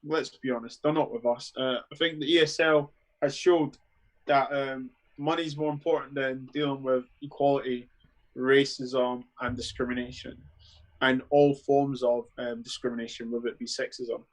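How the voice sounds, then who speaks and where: A man's voice: medium pitch, fairly even intonation, moderate pace, low-quality recording. A man in his thirties with a London accent, giving blunt, dismissive opinions in a casual panel chat over a video call. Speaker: guest panelist.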